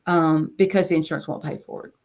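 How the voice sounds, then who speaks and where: Chatty low-voiced woman in her fifties. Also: fairly even intonation, fast, darkish tone. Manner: chatty, repeats phrases for emphasis. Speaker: interview guest, coach. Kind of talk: casual interview storytelling.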